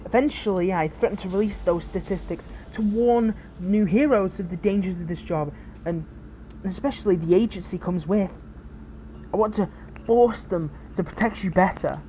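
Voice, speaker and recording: Woman doing a fallen hero for an audio rp, dark soft-edged tone, protective and bitter, halting, noisy background. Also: medium pitch, close to an everyday voice. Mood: bitter, regretful. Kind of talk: scripted audio roleplay addressed to the listener.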